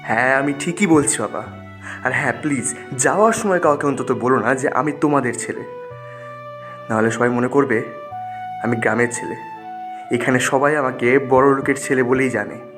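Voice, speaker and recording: A man's voice: medium pitch, normal speed, long pauses, music in the background, lightly acted. A man voicing a vain, dismissive young son in a cartoon dub, speaking curtly. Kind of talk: cartoon dubbing.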